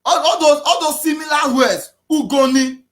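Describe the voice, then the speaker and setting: A man with a Nigerian accent giving an impassioned political talk, repeating himself and often raising his voice to a shout. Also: extremely high pitch, moderate pace. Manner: preachy, repetitive, often shouting. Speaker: activist speaker.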